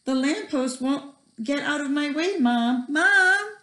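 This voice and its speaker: A woman's voice: on the low side, expressive, takes it slowly, fairly bright tone. A woman voicing a young male kitten in a storybook, with a whiny, questioning way of talking. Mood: whining. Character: young male kitten, anxious then curious. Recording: roomy echo.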